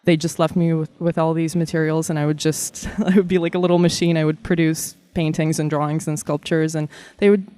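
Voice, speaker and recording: A woman in her thirties from Kazakhstan, telling animated stories about her childhood as a guest in an interview. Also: low-pitched, fairly quick, few pauses. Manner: warm, animated storyteller with frequent laughs and fillers. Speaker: guest artist. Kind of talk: casual interview storytelling.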